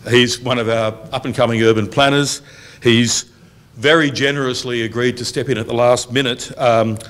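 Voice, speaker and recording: A middle-aged man opening a university public event from a lectern in a function room, speaking in a measured, formal way and reading out long lists evenly. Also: medium pitch, fairly even intonation, fairly bright tone. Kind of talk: formal welcome speech at a lectern. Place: university function room, indoors, medium size. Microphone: lectern microphone on a boom stand.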